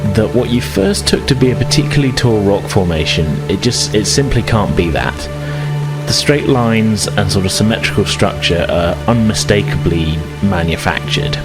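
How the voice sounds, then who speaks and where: A man narrating a tabletop roleplaying game as game master, conversational and a little hesitant as he improvises. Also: lowish voice. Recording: loud music and rain ambience behind. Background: music, rain sound effects.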